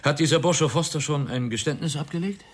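An actor playing a brisk, patronising senior police officer in a radio crime drama, with a husky voice and a hearty, pointed manner. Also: male voice, fairly high pitch. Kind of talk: 1990 German radio crime drama acting.

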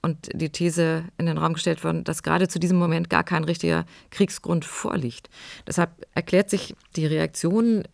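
A woman speaks as a history expert, explaining in an academic manner. Her voice is fairly low with noticeable creak, and the recording is dry. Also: steady tone, moderate pace, darkish tone, dry recording.

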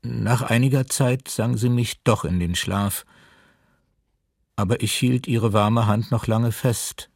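A man's voice: mid-range voice, slow pace, vocal fry, no room sound, clean studio sound. An actor playing a male survivor tenderly and sorrowfully recalling childhood in a documentary radio drama.

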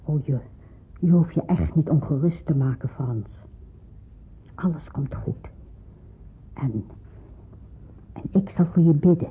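An actress in a Dutch radio drama playing a caring, devout middle-aged pastor's wife, practical and reassuring, with a husky voice and slight hesitations. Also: female voice, low-pitched, lively intonation, slow, pauses often, full character voice. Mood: soothing. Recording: muffled, phone-like radio play recording.

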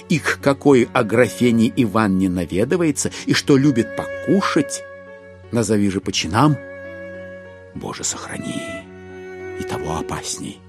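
A man narrating a literary audio drama in an expressive, wry, theatrical manner. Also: medium pitch.

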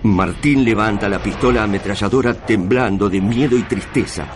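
A man's voice: fairly low pitch, moderate pace, slight background noise. A man with an Argentine accent narrating a radio drama in an intense, literary, scripted style.

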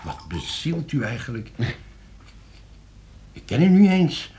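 An actor playing a wary, indignant gentleman in a Dutch radio play, terse and defensive, with a Netherlands accent. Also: medium pitch, wildly swooping pitch, slow, a little hiss or hum.

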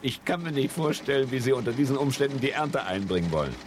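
An actor playing a callous, falsely pious boss in a radio drama, theatrical and gloating. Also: male voice, mid-range voice, expressive, quick pace, noisy background, natural voice. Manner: theatrical, mock-mournful, gloating. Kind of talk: 1970s German radio drama acting.

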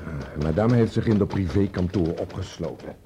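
A man's voice: low-pitched, moderate pace, dark tone, slight background noise, lightly acted. An actor playing a self-righteous, grumbling policeman, pompous and indignant, in a radio drama.

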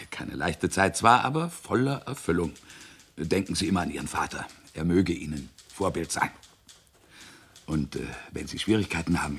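A low, husky male orator in a radio play. He speaks formally and solemnly, with stately ceremonial phrasing. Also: full character voice. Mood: obliging. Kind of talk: German radio drama acting.

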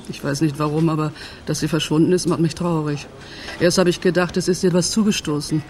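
A woman's voice: sits low, steady tone, a little fast, darkish tone, a little hiss or hum, close to an everyday voice. Woman acting middle-aged friend, wistful, confiding, slightly hesitant, loyal, radio crime drama.

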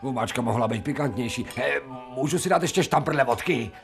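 A man's voice: medium pitch, creaky, close to an everyday voice. An actor in a radio drama playing a crude, insolent man made from a stray dog, grumbling in slangy speech. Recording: music behind the voice.

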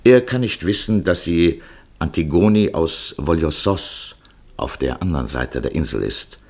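Male narrator for literary radio drama: low, rough-edged voice, measured scripted delivery. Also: animated, darkish tone.

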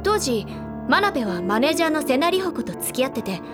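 A woman playing a confident, teasing high-school senior girl who recounts gossip in a feminine storytelling way in an audio drama. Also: very animated, a little fast, over music. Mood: playful, informative. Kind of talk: anime audio drama dialogue.

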